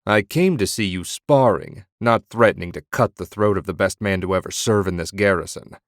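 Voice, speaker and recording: Gruff angry baron dad bellowing orders, man reading it, lowish voice. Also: moderate pace, no echo, clean studio sound. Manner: bellowing, stern. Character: gruff bellowing middle-aged male baron.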